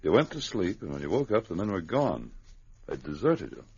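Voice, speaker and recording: An actor playing a steady, protective Mountie sergeant in an old-time radio drama, speaking with firm, measured authority. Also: male voice, sits low, vocal fry, slightly rough, heavily acted. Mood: grave, thoughtful. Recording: muffled vintage radio recording.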